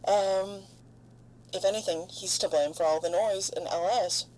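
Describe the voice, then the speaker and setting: A woman acting a weary, foul-mouthed, defiant lone spaceship survivor logging a halting, sardonic monologue in an audio drama. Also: fairly low pitch, fairly even intonation, a little mellow, close to an everyday voice. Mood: irritated, sardonic. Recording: clear background noise, humming ship ambience. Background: noisy hum of spaceship sound design.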